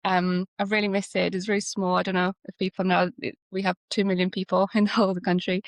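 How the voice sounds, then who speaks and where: A woman's voice: mid-range voice, level tone, quick pace, no room sound. A woman in her thirties from Slovenia, chatting as an interview guest, rambling with frequent restarts. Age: 30s. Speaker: architect, guest. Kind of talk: conversational interview.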